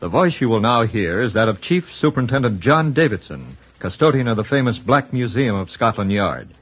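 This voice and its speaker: A man's voice: mid-range voice, sing-song, brisk, a little hiss or hum. A British man making formal scripted announcements for a vintage radio drama, on a dull old recording.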